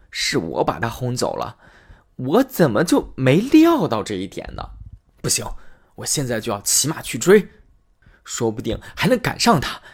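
A man's voice: medium pitch, husky, heavily acted. A man voicing a greedy rich man who frets and wheedles in a storybook tale. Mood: regretful. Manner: wheedling, fretful.

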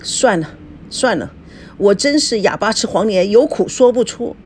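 A woman voicing a flustered male office manager in an audiobook, halting, exasperated and pleading. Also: medium pitch, lively intonation, darkish tone, slight background noise, natural voice.

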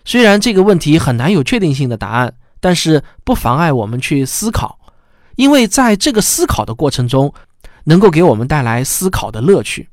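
A man's voice: on the high side, big pitch swings, a little fast, dry recording, clean studio sound. A man hosting a science show, giving a scripted spoken introduction.

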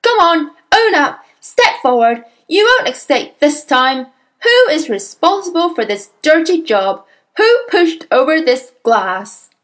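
A woman's voice: high-pitched, very animated, takes it slowly, a bit bright, pitched above the performer's own voice, full character voice. A woman voicing a huge, furious, bullying headmistress in a story, roaring accusations and insults. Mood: roaring fury.

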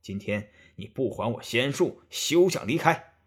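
A man's voice: mid-range voice, animated, measured pace, slightly rough. A man voicing a stern, angry old Taoist immortal in a storybook, scolding and commanding.

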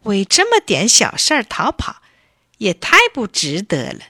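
A woman voicing a kindly, patient old grandfather in a children's story, gently coaxing and instructing. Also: medium pitch, wildly swooping pitch, measured pace, husky, no echo, clean studio sound, voice pushed up, heavily acted. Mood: concerned.

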